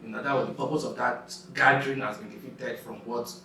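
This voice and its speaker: Echoey, bright-voiced young man explaining. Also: medium pitch, steady tone, fast talker, vocal fry. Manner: explains step by step, defining terms. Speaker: guest on a youth discussion show. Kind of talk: casual discussion, explaining an opinion. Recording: echoey room, distant mic, faint noise.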